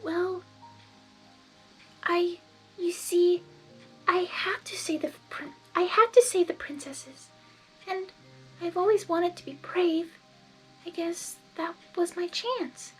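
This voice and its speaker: A woman voicing a shy, gentle young female storybook pony, speaking softly and haltingly. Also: very high voice, soft background music, pitched above the performer's own voice, low-quality recording. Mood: hesitant. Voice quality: soft, quiet. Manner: hesitant, halting.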